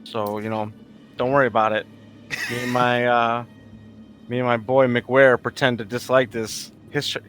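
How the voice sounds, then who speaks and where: A man with a bright, sharp voice at medium pitch chats as a tabletop player. He is slangy and jokey, with faint music behind. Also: little pitch movement, moderate pace. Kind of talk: casual joking chat.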